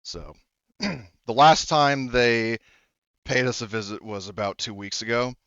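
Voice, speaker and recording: A man working as game master, narrating a tabletop game off the cuff in a halting, rambling, self-correcting way. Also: medium pitch, fairly bright tone, clear, resonant tone, no echo, lo-fi sound.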